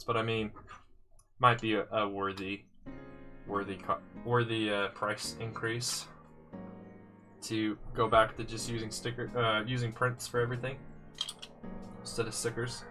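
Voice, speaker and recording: A man's voice: on the low side, fairly even intonation, moderate pace, pushed and bright. A man with a slightly congested voice, chatting casually on a live stream as he builds sets. He thinks aloud and answers viewers' comments. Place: indoors, his room. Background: faint music playing.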